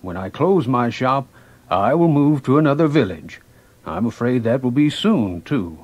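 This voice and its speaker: A man playing a kindly, gentle, wise old woodcarver with a husky voice in a children's story drama. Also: mid-range voice, animated, moderate pace, slight background noise, lightly acted. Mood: sad, resigned.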